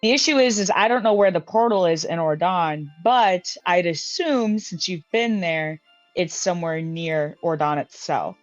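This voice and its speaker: A woman's voice: on the low side, unhurried, no room sound. A woman playing in a tabletop game, chatting casually and thinking aloud with many restarts and fillers. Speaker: player. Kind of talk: casual tabletop planning chat. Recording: dull lo-fi mic with faint music.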